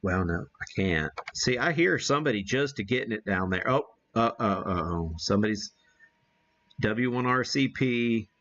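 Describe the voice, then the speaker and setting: A man's voice: lowish voice, lively intonation, moderate pace, a bit bright, dull audio. An American man hosting a casual live radio demonstration, thinking aloud in a folksy way. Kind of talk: casual live-stream chat while operating.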